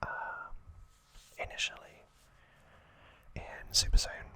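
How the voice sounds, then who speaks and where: A man hosts an ASMR recap in a steady whisper. He goes very slowly, phrase by phrase, leaving pauses between them. The whispered sound is bright and sharp. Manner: hushed, deliberate, short phrases with pauses. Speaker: ASMR host.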